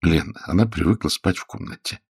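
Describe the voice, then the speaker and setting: A man reading an audiobook, voicing a calm, stubborn male journalist with a dry, restrained manner. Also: low voice, fairly quick, creaky, breathy edge, exaggerated voice. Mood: dry, guarded. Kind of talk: audiobook character dialogue.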